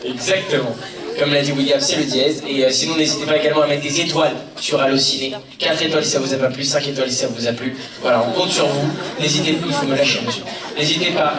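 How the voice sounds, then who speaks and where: Joking man working a loud cinema crowd. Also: on the high side, steady tone, quick pace. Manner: teasing, playful, addresses the crowd directly. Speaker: comedian presenting a film to a cinema audience. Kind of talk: joking live address to a crowd. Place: large cinema auditorium. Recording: echoey hall, distant, loud crowd.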